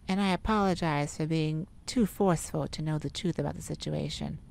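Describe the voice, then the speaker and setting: A feisty, sardonic vampire man, acted by a woman, speaks in a lowish register at a slow, deliberate pace. The delivery is theatrical and sassy with a softer side, made for an ASMR audio roleplay. Light rain falls behind the voice. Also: creaky, a little hiss or hum. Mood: gentle, sympathetic. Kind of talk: ASMR roleplay monologue to the listener. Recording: steady rain ambience behind the voice. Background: rain falling.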